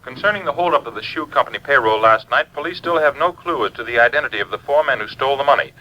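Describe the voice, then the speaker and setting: An actor playing a brisk, formal newsreader giving an urgent bulletin in a 1950s radio drama. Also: male voice, mid-range voice, pushed and bright, muffled recording, soft background music, close to an everyday voice.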